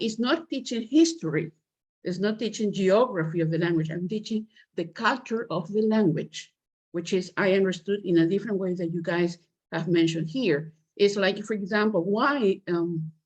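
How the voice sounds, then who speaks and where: Female English teacher, low voice, halting delivery over a video call. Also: muffled recording. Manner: halting, many restarts and fillers. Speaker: guest speaker, English teacher. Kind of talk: online meeting discussion.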